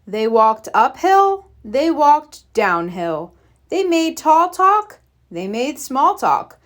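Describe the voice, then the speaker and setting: A woman reading a bedtime picture book aloud for young children in a deliberate, expressive storytelling manner. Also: high voice.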